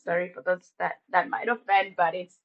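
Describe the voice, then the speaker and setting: A woman from Uganda with a Ugandan accent, a ministry staff member telling her life story in an interview, warm and faith-filled. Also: lowish voice, steady tone, normal speed, highs cut off. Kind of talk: personal testimony in an interview.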